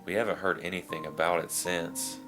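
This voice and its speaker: Male narrator for creepy true-story readings, low-pitched, over a bed of plucked guitar music. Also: fairly even intonation, a little fast, poor audio. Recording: music behind the voice.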